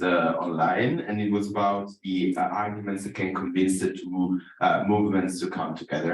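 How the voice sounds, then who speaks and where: A man from Italy, speaking as a conference panelist in a measured way with frequent fillers. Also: low-pitched. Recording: echoey room, distant mic.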